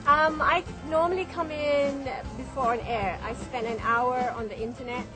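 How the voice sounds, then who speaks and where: High-voiced woman, chatty radio DJ. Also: fairly even intonation, normal speed, over music. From Malaysia. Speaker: radio DJ being interviewed. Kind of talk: casual interview chat.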